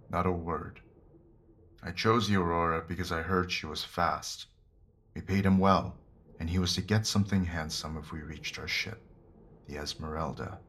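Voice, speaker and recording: Man playing a very deep-voiced, bitter captured criminal. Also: fairly bright tone. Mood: defiant, matter-of-fact. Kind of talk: dramatised mystery audio drama.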